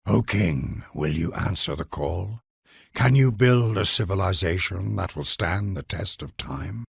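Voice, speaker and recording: A man's voice: deep voice, lively intonation, unhurried, creaky, breathy edge, dry recording, clean studio sound. A man delivering grand scripted narration for a strategy game in a formal, reverent, theatrical manner.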